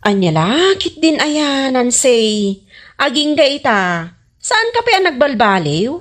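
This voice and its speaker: A woman's voice: high voice, sing-song, takes it slowly, full character voice. A woman playing a frank, teasing young snack seller in an Ilocano comedy radio drama, with an Ilocano accent.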